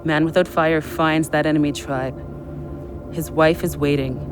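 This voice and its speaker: A man acting a reckless, drunk man coming unravelled in an audio play. His voice sits fairly high, with an insistent, excitable push, over prominent music. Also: measured pace, close to an everyday voice. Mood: brooding. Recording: music behind the voice.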